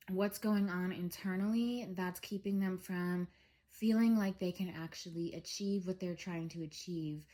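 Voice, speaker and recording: Slow-talking woman with a head cold. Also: on the low side, darkish tone. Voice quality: scratchy throat. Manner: slow, soothing, with frequent um fillers. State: head cold, nasal congestion. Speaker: tarot reader. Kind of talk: spiritual reading monologue.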